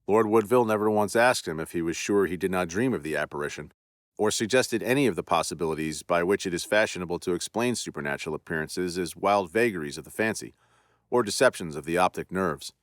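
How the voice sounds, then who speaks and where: A man narrating a classic ghost story with solemn, deliberate delivery. His voice is on the low side and the recording is dry and close-miked, with no room echo. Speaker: podcast host and narrator.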